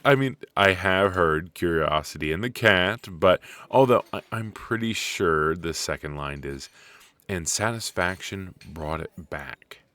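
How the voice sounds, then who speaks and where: A man acting a friendly, chatty extrovert at a coffee shop mixer in an ASMR audio roleplay, conversational and reassuring, pausing for an unheard listener. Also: low-pitched, animated, bright, forceful tone, no room sound, full character voice. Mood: encouraging, open. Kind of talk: ASMR roleplay, casual one-sided conversation.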